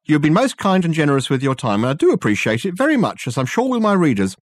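A man's voice: fairly high pitch, big pitch swings, fast, dry recording, clean studio sound, voice pushed up. A man voicing an effusive, self-satisfied male detective who talks in flowery, rambling sentences.

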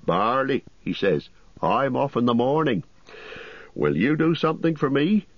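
A man voicing a fussy, apologetic middle-aged innkeeper who chatters and rambles, with a husky voice. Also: mid-range voice, big pitch swings, unhurried, no echo, dull audio, voice pushed up. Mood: fussy, rambling.

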